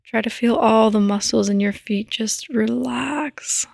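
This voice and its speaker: A woman gently guiding a sleep meditation in a soft, breathy, soothing voice that often nears a whisper, close to the microphone. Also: fairly high pitch, unhurried, no room sound. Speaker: podcast host and meditation guide. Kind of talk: guided meditation, sleep podcast.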